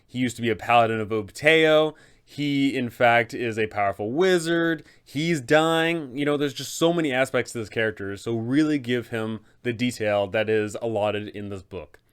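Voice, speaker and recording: A man with a medium-pitched voice presents advice for tabletop game masters. He is casual but enthusiastic, leaning on key words as he explains. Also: clear, resonant tone.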